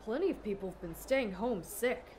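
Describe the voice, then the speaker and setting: A woman's voice: medium pitch, animated, normal speed, a little mellow, a little hiss or hum, close to an everyday voice. A woman playing a mild, chatty and polite teenage schoolboy classmate in an anime fandub. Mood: casual, matter-of-fact.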